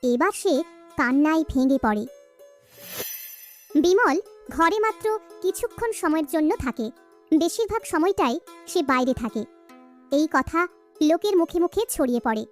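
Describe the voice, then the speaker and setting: A woman's voice: high voice, expressive, normal speed, pauses often, soft background music. A woman narrator reading a scripted children's story.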